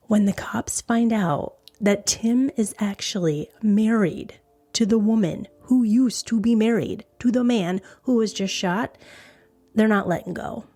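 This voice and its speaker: A woman podcast host narrates a true crime story with music behind her. Her pitch is average and her delivery is conversational and informal, pausing to recap and stress points. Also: mellow. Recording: background music under voice.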